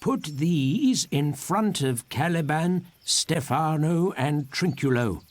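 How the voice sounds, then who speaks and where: A man's voice: fairly high pitch, animated, takes it slowly, no room sound, pitched above the performer's own voice. A man voicing a kindly father in a storybook. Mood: commanding. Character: kindly, contrite father giving his blessing and orders. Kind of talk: storybook character voice.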